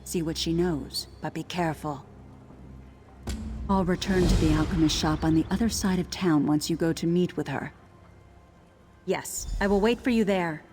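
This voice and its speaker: A voice with a long reverb tail over faint music: a watchful female resistance contact from a fantasy game, played by an actress. She sits slightly low in pitch and gives cautious, conspiratorial instructions in a measured way. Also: darkish tone, natural voice. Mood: cautious, instructing. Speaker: voice actor in a fantasy video game. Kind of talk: scripted game quest dialogue.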